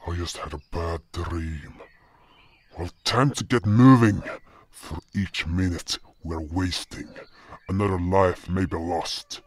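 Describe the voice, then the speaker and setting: Male actor as hulking impatient beast, gruff, husky, slow, curt, audio drama. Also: sits low, no echo. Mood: brusque. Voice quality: gravelly, husky. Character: enormous gruff beast companion, impatient, haunted, called little cub.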